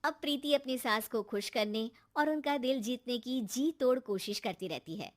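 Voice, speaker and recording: A woman storyteller reads scripted cartoon narration. Her voice sits very high for a woman. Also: moderate pace.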